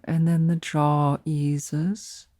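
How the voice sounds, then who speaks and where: A woman leading a guided meditation as a teacher, speaking softly and soothingly in short, unhurried phrases with pauses between them. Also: low-pitched, level tone, no room sound, clean studio sound.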